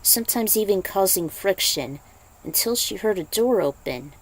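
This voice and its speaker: A woman's voice: medium pitch, lively intonation, normal speed, slightly rough, low-quality recording. A woman narrating a fan fiction story as an amateur reader, sometimes stumbling over the text and correcting herself. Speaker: podfic narrator.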